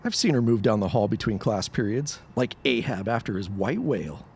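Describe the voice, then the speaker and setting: An actor playing a friendly, earnest, folksy high school boy in a period audio drama. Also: lively intonation, a little fast, darkish tone, slightly rough. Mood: curious. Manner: eager, folksy.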